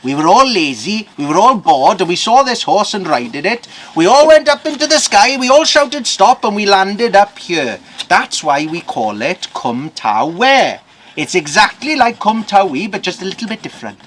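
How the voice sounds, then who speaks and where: A man's voice: high voice, very animated, moderate pace, hardly any pauses, fairly bright tone, pitched above the performer's own voice, heavily acted. A man voicing a friendly, inquisitive Welsh village blacksmith in a told tale, with a probing, knowing way of talking. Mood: knowing, animated.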